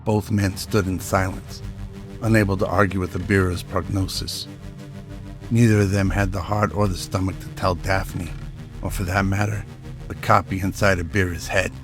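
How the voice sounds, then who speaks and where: A man delivering dramatic scripted narration as the storyteller of an audio drama. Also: low-pitched.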